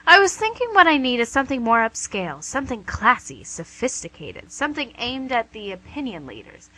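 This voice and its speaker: A woman's voice: on the high side, very animated, fairly quick, sharp tone, exaggerated voice, low-quality recording. A woman playing a smug, coaxing female devil in a comic radio play, with a theatrical, glib delivery. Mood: smug, persuasive. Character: smug, wheedling, image-conscious female devil called Lucy.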